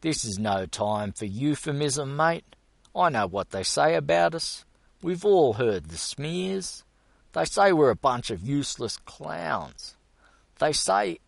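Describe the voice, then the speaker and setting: A man's voice: medium pitch, animated, a little slow, no echo, pitched above the performer's own voice. A man voicing a blustering, boastful bearded man who pushes his point hard. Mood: earnest. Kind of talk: audiobook character voice.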